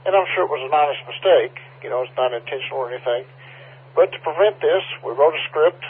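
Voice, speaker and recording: A man's voice: on the high side, fast talker, husky. A man making an informal announcement over an amateur radio link, explaining things in a conciliatory way. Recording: muffled phone-like amateur radio transmission.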